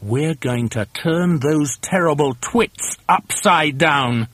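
A man's voice: on the high side, slow, fairly bright tone, dry recording. A man voicing a firm father monkey, leader of his family in a storybook. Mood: determined. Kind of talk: storybook character voice.

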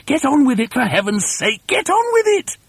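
A man voicing a bossy, excitable father monkey in a storybook, impatiently shouting orders. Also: sits high, unhurried, slightly rough, no room sound, exaggerated voice. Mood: frantic.

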